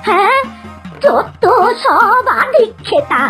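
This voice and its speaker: A woman's voice: extremely high pitch, sing-song, pushed and bright, soft background music, full character voice. A woman playing a stingy, grumbling old village grandmother in a children's cartoon, scolding and dismissive. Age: older. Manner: scolding, dismissive, drawn-out exclamations. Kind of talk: Bengali children's cartoon acting.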